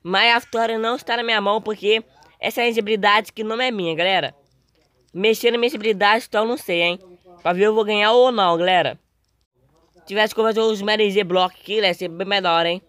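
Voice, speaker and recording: A young male gamer with a Brazilian accent talks casually through his gameplay. His voice is slightly hoarse and his delivery is informal and full of slang. The recording is close and dry. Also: high voice, steady tone, fast, bright, forceful tone, dry recording. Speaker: gaming video host.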